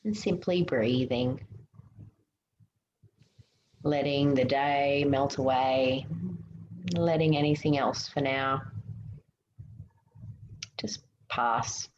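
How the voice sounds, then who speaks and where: A woman leading a guided meditation in a soothing, gentle way, giving unhurried instructions with pauses. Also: very deep voice. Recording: muffled, roomy, noticeable background hiss.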